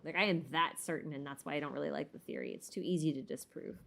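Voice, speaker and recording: A woman's voice: deep voice, steady tone, fast, creaky, slight background noise. A woman hosting a livestream, answering viewer questions in a casual, opinionated way as she thinks aloud, with informal, emphatic phrasing. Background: background music.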